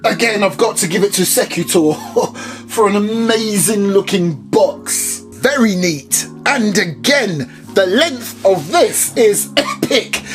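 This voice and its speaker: British male airsoft reviewer, jokey and unhurried, faint background music. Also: sits high. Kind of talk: unboxing review.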